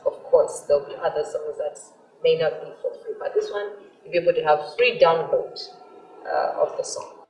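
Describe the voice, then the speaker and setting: A woman's voice: low-pitched, darkish tone. A woman from Malawi whose first language is Chichewa, a gospel singer talking earnestly and eagerly about her music, with frequent fillers. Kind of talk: promotional talk to camera. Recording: roomy sound from far off with faint music.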